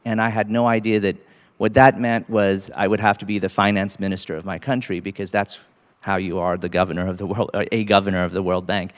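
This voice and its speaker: A man in his forties from Cambodia, an associate dean giving a casual, halting talk to students with many fillers. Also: fairly low pitch, big-room sound. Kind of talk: faculty panel talk to students.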